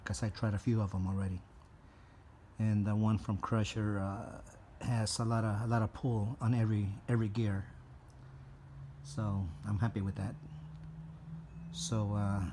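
A man giving a casual product review as a customer, plain-spoken and hesitant with pauses. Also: on the low side, mellow, slight background noise. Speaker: customer reviewing motorcycle mufflers.

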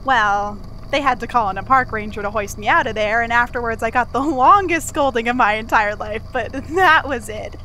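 A woman voicing a cheerful, accident-prone male archival assistant in an audio drama, chatty and wry with thoughtful pauses. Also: fairly high pitch, pushed and bright, a little hiss or hum. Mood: wry, reflective. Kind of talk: confessional monologue in an audio drama.

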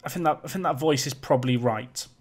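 A man giving chatty, joking live commentary over a football management game, his voice a little raspy today. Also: medium pitch, fast. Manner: chatty, joking, self-deprecating. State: slightly raspy voice. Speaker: gaming YouTuber.

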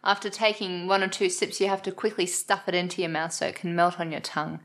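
A woman's voice: lowish voice, flat delivery, fast talker, a bit bright. A young woman co-hosting a knitting show from a living-room sofa, enthusiastic and playful in casual chat. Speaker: co-host.

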